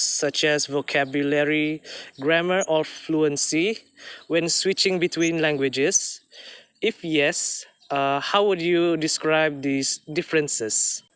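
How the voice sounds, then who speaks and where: A woman interviewing in a measured way, reading out prepared questions. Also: low-pitched, fairly bright tone, clear, resonant tone, no room sound.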